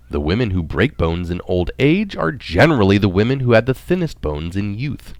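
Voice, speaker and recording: Animated man reading aloud, very dry sound. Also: on the low side, dry recording. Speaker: reader of recorded articles. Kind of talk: read-aloud article narration.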